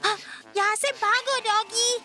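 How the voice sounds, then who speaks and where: A woman's voice: very high voice, steady tone, slow, bright, forceful tone, soft background music, heavily acted. A woman voicing a sly little sister in a cartoon dub, scheming and wheedling. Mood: urgent. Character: sly, sweet-talking little sister who dotes on cat. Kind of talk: Hindi cartoon dubbing.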